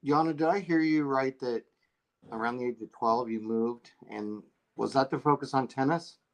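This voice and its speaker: A man co-hosting a podcast interview, casual and joking, with halting restarts. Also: medium pitch, rough recording. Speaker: podcast co-host.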